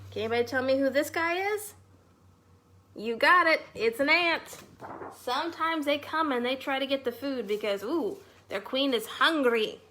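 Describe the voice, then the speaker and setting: A woman leads a children's storytime in a high, bright voice. She speaks to young children in an animated, sing-song manner, as a host who moves between chat and reading aloud. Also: normal speed.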